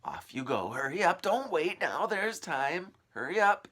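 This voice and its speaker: A man's voice: a little high, very animated, a little slow, voice pushed up, heavily acted. Man reading as a secretive, urgent old man. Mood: warning, urgent, then hurrying, insistent. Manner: hushed and conspiratorial, swelling to urgent commands. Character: mysterious old man urgently giving secret magical instructions. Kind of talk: children's storybook read-aloud.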